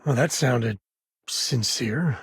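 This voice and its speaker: A very raspy, dry-recorded middle-aged man of the space frontier. He is a devoted father and easygoing explorer who talks in a folksy, wry way, naturally and without fuss. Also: mid-range voice, takes it slowly, dry recording. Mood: easy, wry. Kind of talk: video game voice acting, naturalistic dialogue.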